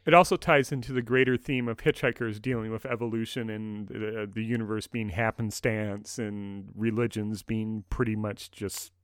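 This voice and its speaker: A man hosting a podcast discussion, talking in a measured way with thoughtful pauses. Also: on the low side, fairly even intonation, clean tone, dry recording, studio quality. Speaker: podcast host.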